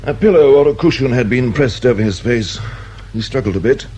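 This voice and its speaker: On a slightly hissy old radio drama recording, a man plays a self-satisfied British pathologist in a mid-pitched voice. He is avuncular and unflappable, treating grim matters in a breezy, matter-of-fact way. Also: a little fast, darkish tone, lightly acted. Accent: British English.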